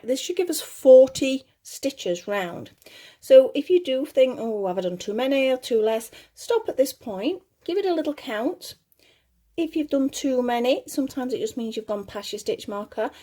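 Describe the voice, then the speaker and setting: A woman giving casual step-by-step craft instruction in a slightly gruff voice, chatty and reassuring, often correcting herself as she goes. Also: dark tone. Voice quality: slightly gruff, lower than her usual voice. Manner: chatty, self-correcting, reassuring toward beginners. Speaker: crochet tutorial host.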